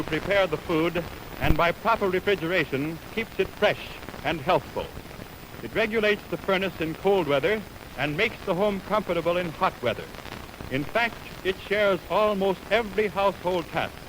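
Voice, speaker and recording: A man with an American accent announcing formally on 1920s radio, reading a script in a measured, declaiming way. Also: a little high. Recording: noisy, hissy 1929 radio aircheck on film.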